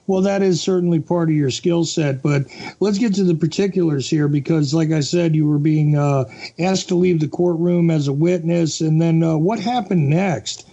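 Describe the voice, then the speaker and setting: A man hosting a podcast interview, asking steady, probing questions. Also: a little high, normal speed, creaky, dull audio.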